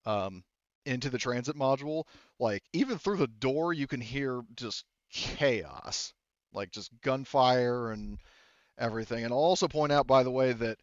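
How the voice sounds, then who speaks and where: A man's voice: mid-range voice, lively intonation, measured pace, dry recording, muffled recording. A man working as game master, narrating a tabletop roleplaying game casually and often backtracking mid-sentence.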